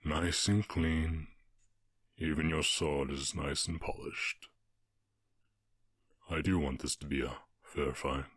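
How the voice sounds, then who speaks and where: A man voicing a calm, commanding orc warchief in an ASMR roleplay, with a husky voice and a measured, condescending, teasing manner. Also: no echo, clean studio sound, exaggerated voice. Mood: calm, stern. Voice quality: very deep, husky.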